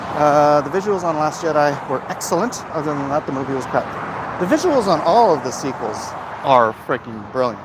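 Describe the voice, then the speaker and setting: A man chatting casually and giving opinions in a halting way, as if hosting a livestream. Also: on the high side, lively intonation, background noise. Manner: halting, frequent throat clearing. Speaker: livestream host answering viewer comments.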